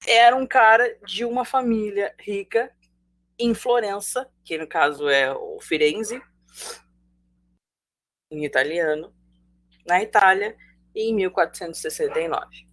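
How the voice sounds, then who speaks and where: Woman, Brazilian Portuguese accent, online teacher, chatty, jokey, slightly low voice. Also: a bit bright. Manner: chatty, jokey, addresses students directly. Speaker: sociology teacher. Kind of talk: live online class.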